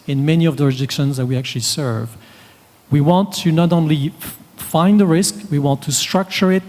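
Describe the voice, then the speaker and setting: A man on a conference panel, giving a measured, businesslike presentation point by point. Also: on the high side, darkish tone. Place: conference hall. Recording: long reverb of a conference hall.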